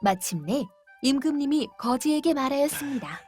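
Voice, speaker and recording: A woman reads a children's fairy tale aloud as narrator, in a gentle, steady storytelling manner. Her voice is high, with soft music playing underneath. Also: moderate pace.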